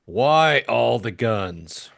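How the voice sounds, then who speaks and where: A man's voice: medium pitch, big pitch swings, extremely slow, gravelly, a bit bright, heavily acted. A man acting an irritable, blunt hard-boiled private detective in an audiobook drama. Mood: dry, probing. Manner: blunt, impatient.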